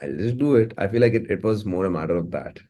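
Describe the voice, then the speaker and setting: A man's voice: lowish voice, animated, fast, mellow. A man with an Indian accent chatting casually as an interview guest, informal and often repeating and restarting his phrases. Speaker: interview guest, musician and music producer.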